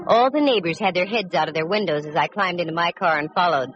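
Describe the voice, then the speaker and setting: A woman's voice: on the low side, fast talker, natural voice. An actress playing a witty, self-assured woman private detective in a wry, sardonic 1940s radio drama style. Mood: wry narrating. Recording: dull vintage radio recording with music.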